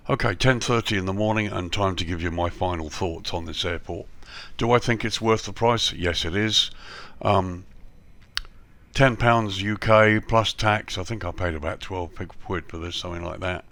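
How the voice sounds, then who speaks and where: A man with a cold and a slightly hoarse voice, reviewing flight simulator scenery in a relaxed voice-over full of ums and repeated praise. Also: low voice, normal speed, no echo.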